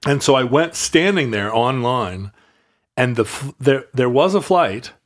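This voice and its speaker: A man's voice: mid-range voice, expressive, measured pace. A man from Alaska telling a long, rambling anecdote in casual conversation, full of restarts and wry asides. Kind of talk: casual chat, storytelling.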